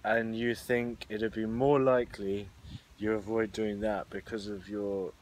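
A man's voice: fairly low pitch, little pitch movement, unhurried, a little hiss or hum, rough recording. A young man who asks questions hesitantly, searching for words in a casual outdoor group discussion. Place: outdoors at a garden table.

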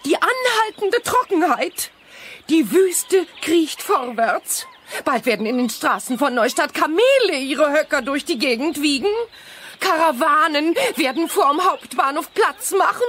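Children's radio-play role: over-excited female reporter, very high, swooping delivery, gushing and theatrical. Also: measured pace. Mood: alarmed, melodramatic. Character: frantic, over-excitable woman newspaper reporter. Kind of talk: children's audio drama acting.

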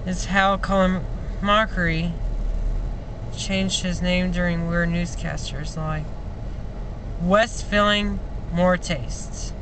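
A young man talking casually to camera as a vlogger in a car, in halting, broken phrases with long gaps. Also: high-pitched, fairly even intonation. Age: young adult. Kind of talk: casual vlog talk to camera. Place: inside a parked or standing car. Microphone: phone or camera at arm's length. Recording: clear vehicle and outdoor noise.

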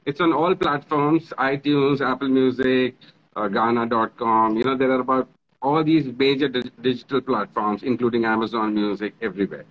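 A man's voice: medium pitch, fairly even intonation, normal speed. Friendly man on a phone video call. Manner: friendly, runs through lists with fillers. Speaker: violinist and composer. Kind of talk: casual video-call interview. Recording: slightly dull phone audio.

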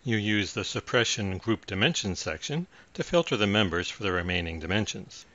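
Casting: male tutorial narrator, low-pitched, measured delivery, very dry and slightly muffled recording. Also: animated, sharp tone, dry recording. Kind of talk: scripted software tutorial narration.

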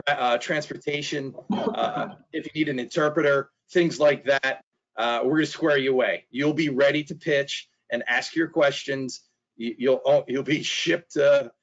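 A middle-aged man giving a webinar presentation, hoarse and losing his voice, halting with fillers and restarts and clearing his throat. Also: medium pitch, a bit bright. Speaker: trade specialist presenter. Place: small office. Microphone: video call. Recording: dull lo-fi video call audio.

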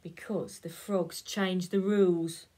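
A woman voicing a grumpy, put-upon male cat in a read-aloud picture book, in a lower grumbling voice. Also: steady tone, slow pace, warm tone. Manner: low, grumbling and complaining.

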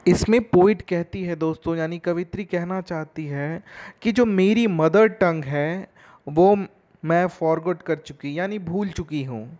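A man explains a poem line by line, speaking slowly and deliberately. His pitch sits a little higher than most men's. He reads each verse line at a crawl, then explains it in a mix of Hindi and English. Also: a little mellow. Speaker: teacher. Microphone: voice-over over text slides.

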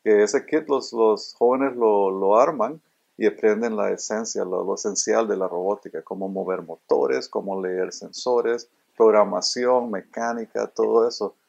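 Low-pitched gravelly man from Honduras, middle-aged, earnest and halting, repeats himself, interview guest in a robot-filled room, somewhat dull lo-fi recording. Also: darkish tone. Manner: earnest, repeats words while searching for them. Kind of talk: casual interview answers. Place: indoor room full of robots.

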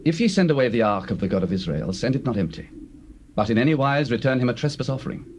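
Male actor as solemn priest, mid pitch, emphatic, oratorical, scripture drama, faint tape noise. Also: expressive, fast talker, darkish tone, close to an everyday voice. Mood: grave, commanding. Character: grave, authoritative pagan priest giving counsel. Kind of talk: dramatized scripture, stage acting. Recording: faintly noisy old cassette.

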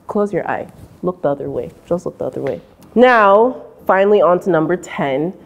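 A woman in her twenties with an American accent, giving sassy, animated advice straight to camera in an indoor room. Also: normal speed, gravelly. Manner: sassy and animated, mimics voices in high squeals. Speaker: vlogger. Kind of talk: direct-to-camera advice vlog. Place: indoor room with painting behind.

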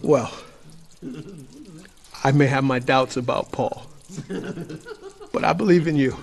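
An actor playing a skeptical, jumpy young man in a radio drama, suspicious and halting. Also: medium pitch. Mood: doubtful. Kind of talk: post-apocalyptic radio drama acting.

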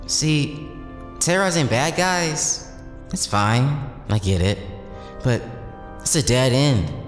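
A man's voice: medium pitch, animated, slow pace. Man acting a streetwise young vigilante, echoey. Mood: relaxed, sincere. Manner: casual, earnest, streetwise. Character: bold, idealistic young male vigilante, streetwise and teasing. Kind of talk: audio drama acting. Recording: echoey reverberant hall, music underneath.

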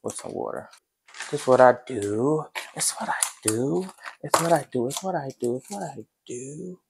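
A man's voice: mid-range voice, animated, moderate pace, gravelly, noisy background. Laid-back young man vlogging casually. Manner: laid-back, chatty, addresses viewers as y'all. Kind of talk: casual vlog chat. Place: home kitchen, indoors.

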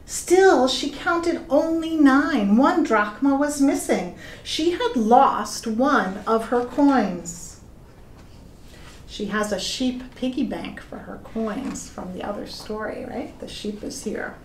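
A middle-aged woman reading a children's story aloud in a deliberate, expressive way, addressing young listeners. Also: a little high, slight background noise. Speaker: pastor reading stories to children. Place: indoor room with plain walls. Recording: echoey room.

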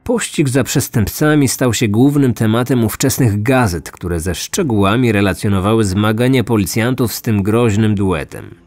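A man's voice: on the low side, moderate pace, few pauses, darkish tone, dry recording. A man hosting a true-crime podcast, reading scripted storytelling narration. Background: music.

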